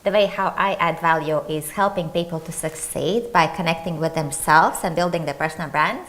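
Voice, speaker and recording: Latvian woman panelist, lowish voice, careful and methodical. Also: fairly bright tone, rough recording. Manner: measured, listing things one by one. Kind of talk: conference panel introduction.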